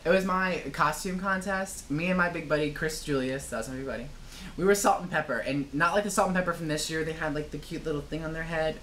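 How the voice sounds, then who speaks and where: A woman's voice: deep voice, brisk, fairly bright tone, slight background noise. A young woman, a student ambassador, reminisces casually in an interview in an informal, self-deprecating way. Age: young adult.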